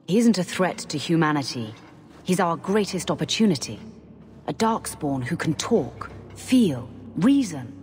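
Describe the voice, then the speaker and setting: Zealous warden commander, echoing hall. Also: female voice, sits low, very animated, a little slow, a little mellow. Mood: grave, persuasive. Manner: formal, assured, persuasive. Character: zealous, commanding Grey Warden mage woman leader. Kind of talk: fantasy game voice acting. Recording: long hall reverb, faint ambient noise.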